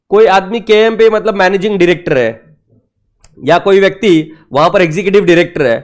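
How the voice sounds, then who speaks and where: A man lecturing an accounting class, mixing Hindi and English and constantly firing rhetorical questions at his students. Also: high-pitched. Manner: lively teacher who keeps quizzing students with rhetorical questions; teasing and playful with students.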